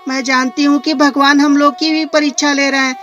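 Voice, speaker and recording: A woman voicing a caring, practical farmer's wife in a cartoon moral story, expressive and questioning. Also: high-pitched, brisk, pitched above the performer's own voice. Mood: concerned, consoling. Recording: music behind the voice.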